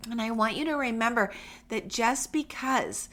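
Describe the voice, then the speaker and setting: A woman's voice: on the high side, moderate pace, fairly bright tone. A middle-aged woman hosting a podcast, getting over a cold, speaking to listeners in a reassuring, conversational and emphatic way. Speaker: podcast host for homeschool parents. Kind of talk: encouraging solo podcast talk, addressing listeners directly.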